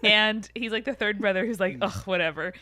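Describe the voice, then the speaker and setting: A woman's voice: mid-range voice, a little fast, a crowd in the background. A woman co-hosting a podcast with casual, skeptical, slangy banter. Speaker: podcast co-host.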